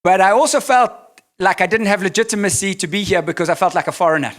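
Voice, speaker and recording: A South African man preaching in English, pausing for an interpreter, telling candid, self-deprecating stories. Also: high voice, a bit bright. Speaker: pastor. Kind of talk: sermon with live interpreter.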